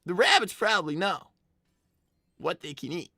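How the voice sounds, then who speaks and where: Performer as young cartoon fox boy: bright, forceful, earnest, flustered, stammers through arguments, very dry close sound. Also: mid-range voice, animated, moderate pace, vocal fry, dry recording, exaggerated voice. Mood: hesitant, explaining. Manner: stammering, argumentative, exasperated with his mother. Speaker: character on a live roleplay show. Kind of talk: improvised in-character livestream chat.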